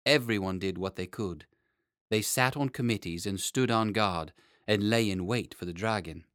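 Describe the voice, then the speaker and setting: A man reading a children's story as an expressive audiobook narrator. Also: fairly low pitch, moderate pace, dry recording, studio quality.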